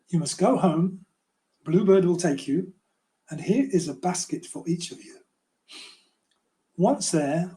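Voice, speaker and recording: An older man voicing a calm, wise old woman who gives instructions in a children's storybook. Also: high-pitched, low-quality recording. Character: kindly, wise old woman guide in magic garden.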